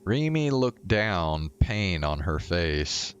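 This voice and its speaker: A man's voice: low voice, sing-song, slow, highs cut off, slight background noise. A male streamer reading a visual novel aloud and talking to viewers as he goes. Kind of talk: live read-aloud narration of a visual novel.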